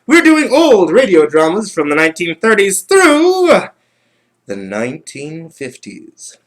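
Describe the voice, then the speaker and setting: A man with a slightly high voice is chatting as the host of a livestream. He talks casually and rambles, doubling back over his own phrases. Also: wildly swooping pitch, a little slow. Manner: rambling, repeats himself.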